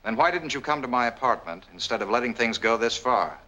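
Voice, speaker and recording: Tense, blunt young noir hero. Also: male voice, mid-range voice, animated, fairly quick, bright, forceful tone, rough recording. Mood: suspicious. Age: young adult. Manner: blunt, insistent, disbelieving. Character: suspicious, blunt young man hunting for his fiancée. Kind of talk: 1940s film noir acting. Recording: old 1940s film soundtrack.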